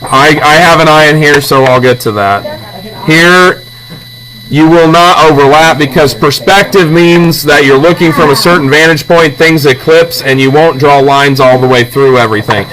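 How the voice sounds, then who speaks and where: A man's voice: fairly high pitch, moderate pace, fairly bright tone, rough recording. A man teaching a hands-on drawing lesson step by step, in an instructional manner with short check-in questions. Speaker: art teacher. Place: classroom with students. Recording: classroom chatter around the voice.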